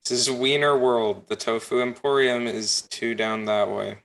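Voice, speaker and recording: A man's voice: medium pitch, fairly even intonation, a little slow, vocal fry, a bit bright, rough recording. An actor playing a curt, sarcastic male hot dog stand worker in a sitcom table read on a video call.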